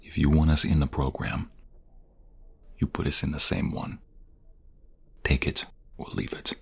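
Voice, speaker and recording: A man playing a protective ex-mobster in an audio roleplay drama, husky and breathy, defiant with others and tender with his fiancée. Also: a bass voice, level tone, a little slow, full character voice. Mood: firm. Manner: intense, protective.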